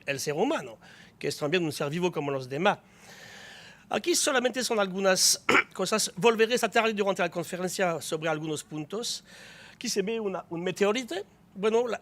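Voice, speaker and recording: A man, a biologist speaking Spanish as an at a conference panel, explaining with small restarts. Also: sits high. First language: French. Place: auditorium.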